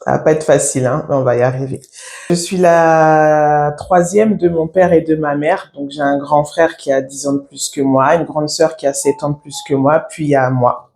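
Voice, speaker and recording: Halting woman in her thirties giving testimony. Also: low-pitched, steady tone, a little mellow. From France. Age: 30s. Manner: halting, reflective, many pauses. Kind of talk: personal faith testimony. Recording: echoey room.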